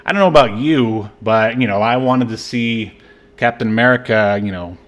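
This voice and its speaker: A man's voice: mid-range voice, normal speed, fairly bright tone. A man co-hosting a podcast in casual chat, rambling with many fillers and laughing often. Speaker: podcast co-host. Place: Southern California.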